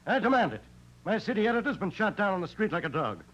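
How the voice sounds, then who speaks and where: An actor playing a self-important, blustering newspaper publisher who repeats himself for emphasis, in 1940s radio drama style. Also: male voice, high-pitched, sing-song, fast. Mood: pompous, indignant. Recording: noisy muffled old radio recording.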